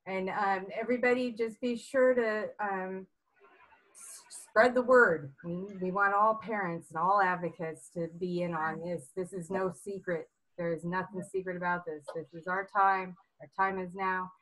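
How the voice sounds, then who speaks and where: Woman host, rallying and excitable, voice fraying, mid pitch. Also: normal speed, rough recording. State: losing her voice. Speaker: meeting host. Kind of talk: online group meeting.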